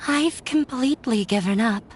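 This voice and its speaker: A woman's voice: on the high side, normal speed, soft background music, lightly acted. A woman voicing a despondent, self-blaming young woman in a video game, subdued and resigned with an edge of bitterness. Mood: bitter, sorrowful.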